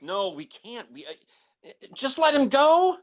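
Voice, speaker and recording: A man's voice: extremely high pitch, very animated, a little slow, heavily acted. A man playing an anxious, impulsive young man in an old-time radio horror drama, urgent and stammering. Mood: anxious urgency.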